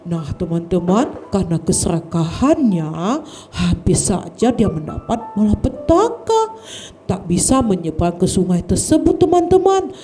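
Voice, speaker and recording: A woman telling a children's story in a lively, sing-song way, often addressing the children as friends. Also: fairly low pitch.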